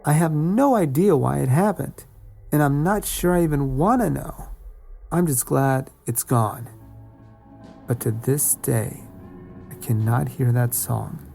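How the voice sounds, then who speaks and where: Male guest reader for first-person horror anecdotes; creaky texture, lively swings in pitch. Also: a little slow, soft background music. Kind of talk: scripted first-person scary story narration.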